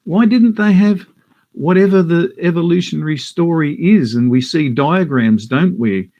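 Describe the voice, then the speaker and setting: A man's voice: a little high, moderate pace, dark tone, lo-fi sound. Aussie guy hosting from his office, chatty, lots of uhs and restarts. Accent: Australian. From Western Australia. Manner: chatty, with many fillers and restarts. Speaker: host. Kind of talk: casual live-stream commentary. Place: home office.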